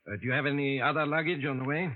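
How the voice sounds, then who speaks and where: An actor playing a courteous, businesslike middle-aged family attorney in a vintage radio drama. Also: male voice, on the high side, steady tone, rapid-fire, lightly acted. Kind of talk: 1940s radio acting. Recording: muffled old radio broadcast.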